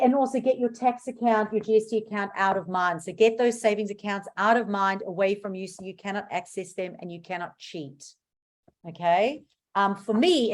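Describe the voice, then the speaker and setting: South African woman coaching, emphatic. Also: mid-range voice. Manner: emphatic, repeats key words for stress. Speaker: business coach hosting a book club class. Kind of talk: informal online class talk.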